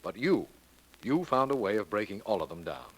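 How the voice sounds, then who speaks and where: An actor in a 1940s radio drama playing an admiring, good-humored district attorney talking over a solved case. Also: male voice, on the low side, sing-song, a little fast, poor audio. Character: amiable district attorney, the detective's friend.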